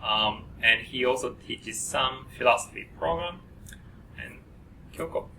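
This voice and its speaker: Man running a student orientation, stops and starts a lot, bright tone, kinda far from the mic. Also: mid-range voice, gravelly. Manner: halting, frequent uh fillers. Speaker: program coordinator at an orientation. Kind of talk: presenting to students. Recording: distant mic with faint noise.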